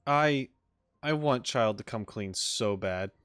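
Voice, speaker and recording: A man's voice: fairly low pitch, slow pace, fairly bright tone, clean tone, dry recording, soft background music. A man streaming a video game, reacting casually on a close mic. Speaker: gaming streamer.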